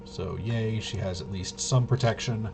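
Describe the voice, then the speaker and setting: A man with a fairly low voice talks through a tabletop game in a casual, improvised way. He is halting and often mutters to himself as he thinks aloud. The recording has clear background noise. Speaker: game master demonstrating a tabletop RPG. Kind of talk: casual improvised narration of solo tabletop gameplay.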